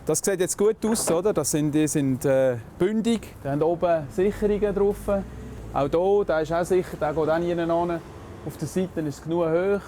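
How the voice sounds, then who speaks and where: A man's voice: fairly high pitch, normal speed, dark tone, slight background noise. A man with a Swiss German accent, a police officer casually explaining his work on the job.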